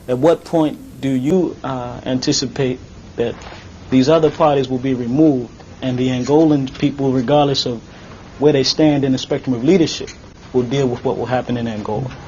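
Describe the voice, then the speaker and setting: A man reporting at a press conference, asking long, polite, deliberate questions. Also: mid-range voice, steady tone, warm tone, highs cut off, slight background noise.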